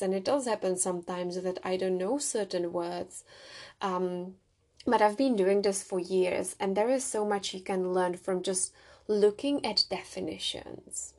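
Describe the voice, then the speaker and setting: A woman's voice: mid-range voice, darkish tone. A young adult woman with a Czech accent, hosting a solo podcast and telling personal stories in a reflective way with small restarts. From Czech Republic. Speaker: podcast host.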